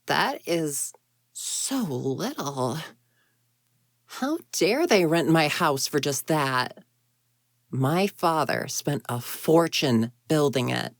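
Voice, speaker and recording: A woman plays a proud, secretly lonely young ghost woman in an audio roleplay. Her voice sits low and she takes her time over her words. She is huffy and indignant, stammering when flustered, and the sound is close and dry. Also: expressive, slow, no room sound, full character voice. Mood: indignant, incredulous. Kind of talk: intimate roleplay audio drama, one side of a conversation.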